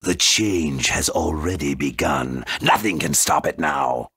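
Game arch-villain: rock-bottom pitch, raspy, grandiose, menacing, deliberate. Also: male voice, pushed and bright, no echo, exaggerated voice. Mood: gloating. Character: grandiose dark male villain bent on wiping out the world. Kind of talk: video game voice acting.

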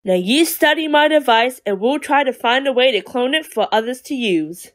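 Woman playing a feisty, higher-voiced young woman. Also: lively intonation, normal speed. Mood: guarded. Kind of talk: audio drama acting.

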